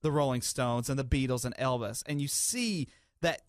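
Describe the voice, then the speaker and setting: A man's voice: mid-range voice, normal speed, no echo, studio quality. A man with an American accent talking on air as a radio host in a polished, conversational way.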